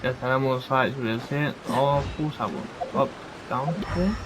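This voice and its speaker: A man's voice: mid-range voice, moderate pace, background noise. A man giving casual, off-the-cuff commentary as he plays a video game. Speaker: gamer commentating playthrough. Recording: game music behind the voice.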